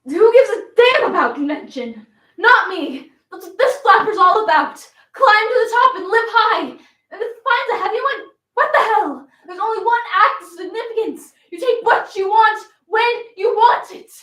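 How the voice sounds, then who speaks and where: A woman's voice: sits high, animated, a little slow, long pauses, fairly bright tone. A woman playing a flamboyant, wistful Southern belle flapper in a theatrical stage monologue. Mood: defiant, exuberant. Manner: dramatic, swinging from coy reminiscence to defiant outbursts. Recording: roomy echo, distant microphone.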